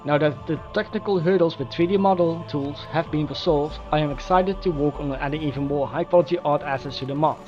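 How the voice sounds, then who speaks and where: A man's voice: fairly high pitch, a little mellow. A man reading a scripted, formally worded progress report as a mod developer. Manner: formal, measured wording. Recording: dull lo-fi voice recording. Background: faint music.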